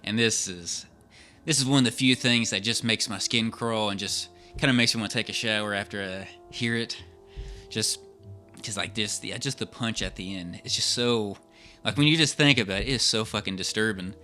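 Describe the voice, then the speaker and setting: Bright-voiced, rambling male podcaster, lowish pitch. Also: moderate pace. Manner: rambling, repetitive, informal. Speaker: podcast co-host. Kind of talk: casual chat about old radio horror. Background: faint music.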